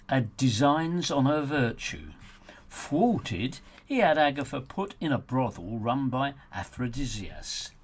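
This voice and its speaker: A man reading his own audiobook in a theatrical, sardonic, emphatic storytelling manner, with a slightly husky voice. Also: mid-range voice. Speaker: author narrating own audiobook. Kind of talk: scripted audiobook narration.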